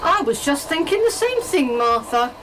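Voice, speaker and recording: A woman's voice: high voice, big pitch swings, normal speed. A woman acting a polite, questioning, faintly sceptical lady train passenger in an amateur radio play. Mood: wary.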